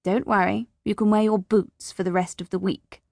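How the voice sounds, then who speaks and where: A woman voicing a stern but kindly female teacher in a storybook, with a brisk, bossy, scolding manner. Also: mid-range voice, level tone, creaky, a little mellow, no room sound, studio quality, natural voice. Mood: brisk, reassuring.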